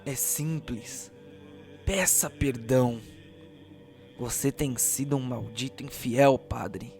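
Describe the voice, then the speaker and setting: A man's voice: mid-range voice, slow pace, a little mellow, faint music behind. A man playing a zealous, mocking cult priest who serves angels, preaching with condescension in an audio drama. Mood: menacing. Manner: condescending, preachy, pleading then menacing.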